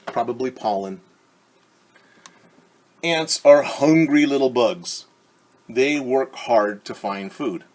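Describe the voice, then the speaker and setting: Husky-voiced man reading to children, slow. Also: mid-range voice. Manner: gentle, asks the young audience questions. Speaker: teacher reading a picture book to children. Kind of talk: children's story time read-aloud.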